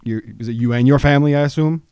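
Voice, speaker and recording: Host: man, mid-pitched, curious and rambling, dry close sound. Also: racing, dark tone, smooth, no room sound. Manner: curious, rambling questions with restarts. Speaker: show host. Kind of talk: casual interview hosting.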